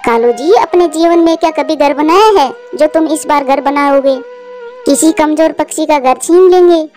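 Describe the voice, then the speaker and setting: A bossy, scheming crow wife played by a woman talks in a high, sharp-tongued way. This is cartoon dialogue over clearly audible music. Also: moderate pace, natural voice. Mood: scolding.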